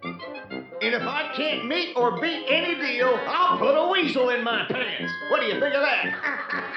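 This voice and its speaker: An actor playing a zany, hammy car-dealer pitchman in a television commercial inside a radio drama. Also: big pitch swings, measured pace, reverberant, lo-fi sound, over music, exaggerated voice. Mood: zany, boastful.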